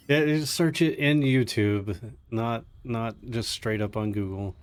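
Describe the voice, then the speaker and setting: A man's voice: medium pitch, slow pace, clean tone. A man chatting casually and jokingly, with some self-deprecation, as he plays a game on a live stream, with game music behind him. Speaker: speedrunner playing live.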